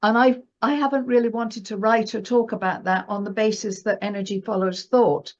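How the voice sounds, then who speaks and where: A woman's voice: medium pitch, steady tone, moderate pace, darkish tone. A woman speaking as an expert guest in a conversational interview, emphatic and often checking in with the listener. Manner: emphatic, checks in with "right?" and "you know". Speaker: guest astrologer and market analyst. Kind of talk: conversational interview discussion of financial astrology. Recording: dull, lo-fi audio.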